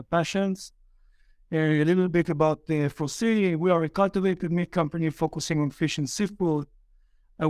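Heavily accented male co-founder, higher clear voice, dry sound. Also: a little mellow, dry recording. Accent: foreign, heavy. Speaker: company co-founder. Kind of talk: panel self-introduction.

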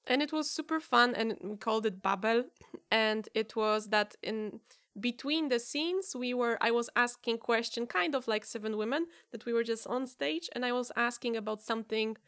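A woman from Poland with a Polish accent, a guest chatting casually on a podcast and telling stories with lively restarts. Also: fairly high pitch, no room sound, studio quality. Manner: lively storytelling with many restarts. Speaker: guest improviser.

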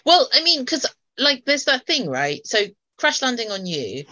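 A woman's voice: sits high, very animated, moderate pace, sharp tone, dull audio. A woman co-hosting a podcast in casual chat, asking questions while thinking aloud with restarts. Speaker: podcast co-host.

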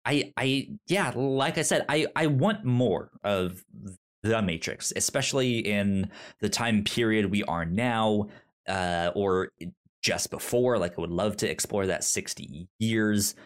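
Male podcast co-host, lowish pitch, conversational, stop-and-start delivery with repeated words. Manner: halting, repeats words as he thinks aloud. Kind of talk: casual film discussion.

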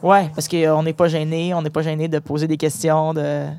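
A woman's voice: sits low, little pitch movement, normal speed, dark tone, smooth, no echo, clean studio sound. A woman with a Canadian French accent hosting a casual, chatty interview with schoolchildren and their principal. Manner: friendly, chatty, restarting phrases. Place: inside a parked truck.

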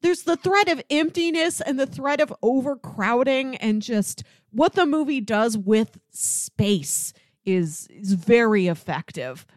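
Higher-voiced woman, dry close sound, chatting. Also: animated, takes it slowly, no room sound. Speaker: podcast co-host. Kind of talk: casual film discussion.

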